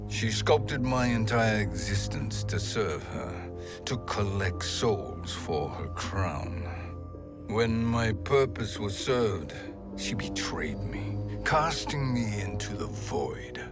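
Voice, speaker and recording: A man playing a cunning, embittered sorcerer in a video game, speaking in a theatrical, measured, insinuating way. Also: on the low side, big pitch swings, loud music behind the voice. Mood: bitter. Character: cunning, embittered male sorcerer, smooth schemer. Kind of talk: video game cutscene acting.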